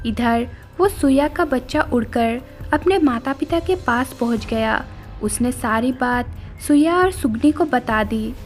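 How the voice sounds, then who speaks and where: A woman's voice: high voice, measured pace. A woman narrating a scripted cartoon story as its storyteller. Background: music.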